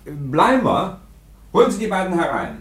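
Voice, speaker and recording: An actor playing a commanding police chief who gives curt orders in a radio play. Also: male voice, sits high, huge pitch swings, moderate pace.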